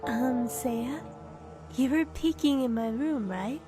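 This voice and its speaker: Shy, earnest young female romance lead played by a woman. High voice, sweet emotive anime-dub delivery, soft music underneath. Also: slow. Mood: timid. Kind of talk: anime-style dub acting. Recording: music bed behind the voice.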